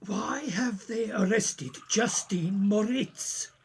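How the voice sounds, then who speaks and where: A woman's voice: mid-range voice, slow pace, a little mellow, slightly rough. A woman playing a courteous, concerned professor in a radio play, formal and questioning. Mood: puzzled, probing. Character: concerned, courteous professor, a sceptical family friend.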